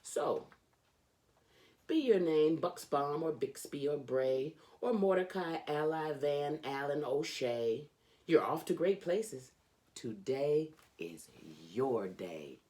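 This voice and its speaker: A woman reading a children's storybook aloud as host, with theatrical, emphatic delivery and drawn-out phrases. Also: deep voice, expressive, mellow.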